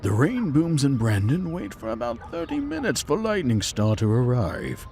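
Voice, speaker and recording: Man narrating with very animated pitch. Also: normal speed, soft background music. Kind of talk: storybook narration.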